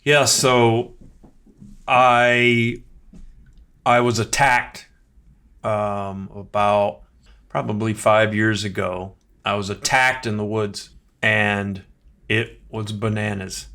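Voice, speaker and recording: A man who is a podcast guest, telling a personal story in a casual, animated way. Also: fairly low pitch, slow, pauses often, clear, resonant tone.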